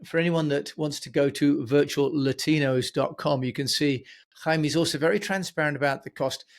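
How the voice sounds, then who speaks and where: A man's voice: fairly high pitch, normal speed. A man hosting a podcast interview, putting questions and comments to his guest in a conversational way.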